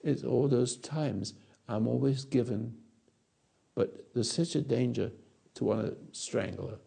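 A man in his eighties giving a rambling philosophical talk to an audience on a lapel mic, halting and repeating his words. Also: medium pitch, gravelly, warm tone, husky. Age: 80s. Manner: gestures with hands while talking. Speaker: speaker answering audience. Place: dark stage or studio.

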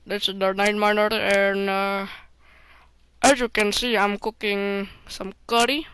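A woman putting on an Indian accent, loud and upbeat while commenting on a game. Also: medium pitch, flat delivery, unhurried, clear, resonant tone, no echo, studio quality, natural voice. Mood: cheerful. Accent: put-on Indian. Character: cheerful cook in a mock Indian accent.

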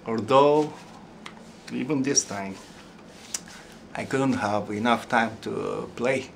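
A middle-aged man speaking slow, halting English with fillers and restarts, introducing a song from his living room at home. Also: mid-range voice, gravelly, a little hiss or hum. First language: not Tagalog. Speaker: ukulele vlogger. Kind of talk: casual spoken intro to a song. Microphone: camera in front at close range. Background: ukulele playing.

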